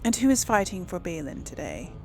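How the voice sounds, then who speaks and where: A woman's voice: fairly low pitch, big pitch swings, fairly quick, gravelly, low-quality recording. A woman hosting a casual role-play gaming video, talking in an easygoing way to herself and her audience. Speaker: gaming host playing and commenting. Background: game music.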